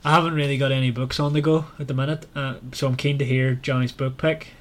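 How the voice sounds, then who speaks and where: A man's voice: mid-range voice, flat delivery, quick pace. A man co-hosting a podcast, chatting casually about books in hesitant, rambling phrasing. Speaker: podcast co-host. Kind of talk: casual book chat. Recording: clear background noise.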